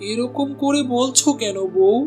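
A man plays a meek, honest cartoon butcher with a high-pitched voice. He sounds earnest and pleading, like a put-upon husband. Soft music runs underneath. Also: unhurried. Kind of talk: Bengali cartoon voice acting.

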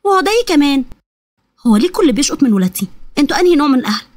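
A woman's voice: high voice, big pitch swings, very fast, darkish tone, no echo. A woman voicing an anxious, exasperated young pregnant wife with an Egyptian accent, emotional and complaining. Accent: Egyptian Arabic.

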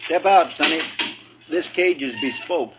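A man's voice: a little high, unhurried, lightly acted. A man playing an obliging, deferential deputy with a folksy way of talking in a 1950s radio western. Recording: noisy, dull vintage radio recording.

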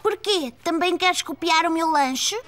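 A very high, childlike little boy in a children's cartoon. He is four and naive, speaking European Portuguese. Music plays clearly behind him. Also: a little slow. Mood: annoyed. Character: four-year-old boy, naive, easily annoyed.

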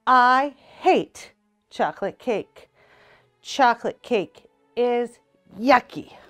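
A woman voicing a stubborn little girl bunny in a storybook, with drawn-out, childlike, emphatic protests. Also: on the high side, animated, fairly bright tone, faint music behind, exaggerated voice.

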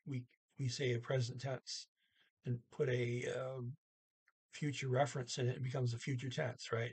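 Slow, husky older man teaching. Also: mid-range voice, darkish tone. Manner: halting, deliberate, with pauses between words. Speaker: language teacher. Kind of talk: informal Norwegian lesson, word-by-word glossing. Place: small room indoors at home. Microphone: webcam or computer mic at arm's length.

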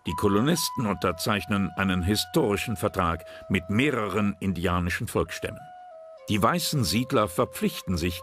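A man giving calm, measured scripted narration for a history documentary. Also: fairly low pitch. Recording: voice-over with music bed.

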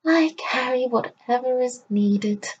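A woman voicing an old crone who sells charms, sly and cackling, with high shrill hollering. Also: slow pace, breathy edge, heavily acted. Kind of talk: storybook reading. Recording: muffled recording.

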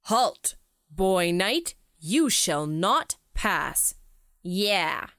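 A woman voicing a gruff, menacing cave-guarding monster with a grumbling, imperious manner in a children's comic. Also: lowish voice, big pitch swings, very slow, fairly bright tone, no room sound, clean studio sound, heavily acted.

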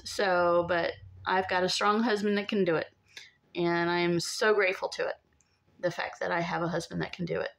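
A middle-aged woman gives a casual, chatty update to camera, pausing often as she goes. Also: mid-range voice, gravelly, fairly bright tone. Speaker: vlogger. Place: inside an empty converted school bus. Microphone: phone at arm's length.

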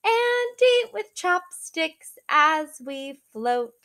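A young woman reading a children's picture book aloud in an animated, drawn-out, singsong way, like a teacher talking to small children. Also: very high-pitched. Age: young adult. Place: small living room, seated in an armchair indoors.

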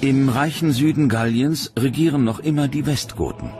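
A man's voice: medium pitch, moderate pace. A man reading scripted narration for a history documentary. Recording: music bed behind narration.

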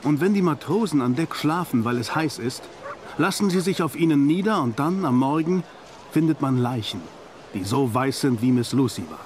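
An actor playing a learned, insistent professor and vampire hunter in a radio play, with probing, emphatic delivery. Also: male voice, a little high, normal speed, slight background noise, lightly acted. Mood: probing, lecturing. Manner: probing, emphatic, rhetorical questioning. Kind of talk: German radio play acting. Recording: radio play with loud background music.

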